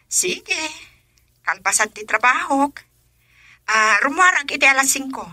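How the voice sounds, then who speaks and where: Bright, sharp young girlfriend. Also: high voice, animated, measured pace, dry recording, studio quality, heavily acted. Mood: cheerful, chatty. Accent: Ilocano. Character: cheerful young office worker, friend on phone. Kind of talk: Ilocano radio drama acting.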